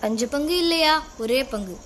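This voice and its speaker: A woman's voice: sits high, fairly quick, bright, forceful tone. An actress playing a thief's wife who is bitter and desperate. She pleads with her husband, then talks him round in a cold, coaxing way. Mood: persuasive. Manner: pleading then coldly persuasive. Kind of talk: Tamil film dialogue. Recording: film audio with music behind.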